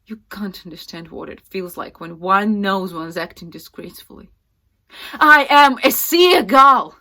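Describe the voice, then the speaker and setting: A woman's voice: lowish voice, fairly even intonation. Intense, distraught young actress, played by a woman. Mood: agitated despair. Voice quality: breathy, often dropping to whispers. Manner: halting, intense, swinging from hushed to loud. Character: distraught, fragile young actress, wounded yet fervent. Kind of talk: dramatic stage monologue performance.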